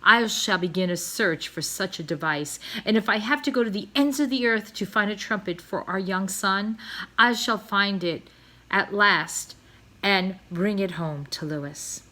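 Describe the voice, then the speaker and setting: A woman's voice: medium pitch, a little slow, pushed and bright. A woman voicing a pompous, passionate father swan in a storybook read-aloud, declaiming in grand, florid style. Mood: determined, grand.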